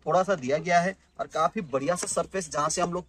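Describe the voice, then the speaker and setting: A chatty man in his thirties talks viewers through camping gear in a casual home vlog, often stopping to restart a phrase. His voice sits a little high for a man. He is recorded on a phone camera, close up indoors and then farther off on an open rooftop. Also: a little fast, fairly bright tone. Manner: chatty, many fillers and restarts, addresses viewers as guys. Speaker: vlogger reviewing gear. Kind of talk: casual product unboxing vlog.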